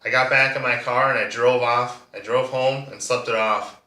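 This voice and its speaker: A man playing a soft-spoken, bitter defendant giving measured, restrained testimony in a courtroom monologue. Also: medium pitch, steady tone, close to an everyday voice. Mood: firm, measured. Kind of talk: monologue performance of a courtroom drama. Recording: roomy echo, distant microphone.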